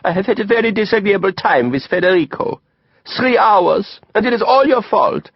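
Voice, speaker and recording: Audiobook role: man playing sulky, naive woman, petulant and aggrieved, raised pitch, husky tone. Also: brisk, no room sound, muffled recording, full character voice. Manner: petulant, complaining. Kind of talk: audiobook character acting.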